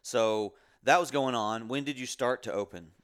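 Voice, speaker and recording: A man hosting a podcast, chatting casually and joking with his guest, relaxed and loose with fillers. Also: medium pitch, moderate pace, clear, resonant tone. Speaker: podcast host.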